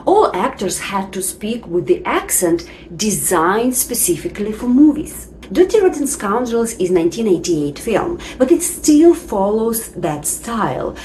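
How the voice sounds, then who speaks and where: A woman whose first language is not English teaches in a slow, unhurried voice that rises and falls a lot. Her delivery is clear and deliberate, with a faint hiss of background noise behind her. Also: mid-range voice, sing-song, mellow, poor audio. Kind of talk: scripted lesson narration.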